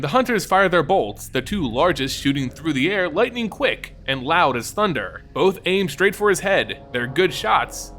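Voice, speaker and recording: Animated, theatrical man narrating over music. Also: a little high. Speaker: channel host and narrator. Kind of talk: dramatic reading of fantasy lore. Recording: music behind the voice.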